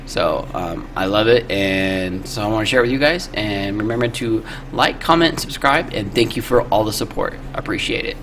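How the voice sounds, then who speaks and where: A man's voice: on the low side, expressive, moderate pace, few pauses, a bit bright, a little hiss or hum. A man talking casually and a little hesitantly to his viewers as a video creator. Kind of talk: casual vlog intro.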